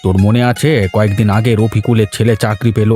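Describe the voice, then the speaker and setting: A man's voice: fairly low pitch, flat delivery, fast talker, warm tone, faint music behind, close to an everyday voice. A man voicing a frank, familiar older villager in a cartoon story. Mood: chatty, probing. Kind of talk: Bengali moral-story cartoon voice acting.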